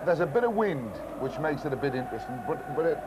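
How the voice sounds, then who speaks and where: A man's voice: fairly high pitch, lively intonation, quick pace, background noise. A man guesting on a football broadcast, chatting casually before the match, hesitant and full of restarts. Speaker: studio pundit guest. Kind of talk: casual pre-match chat.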